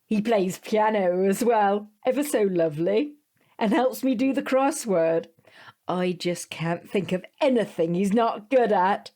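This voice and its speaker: A woman's voice: mid-range voice, a little slow, mellow, natural voice. A woman playing a doting, proud mother in an audio drama, with a gushing manner.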